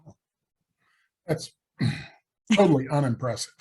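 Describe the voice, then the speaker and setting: A man's voice: medium pitch, animated, takes it slowly, dark tone, breathy edge. A man co-hosting a podcast, teasing and joking sarcastically in casual chat. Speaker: podcast co-host.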